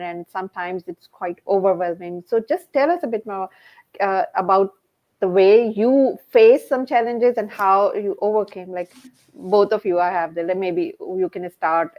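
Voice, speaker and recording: A woman hosting a podcast, asking questions casually in halting, hesitant phrases. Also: medium pitch. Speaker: podcast host. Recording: dull, muffled lo-fi audio.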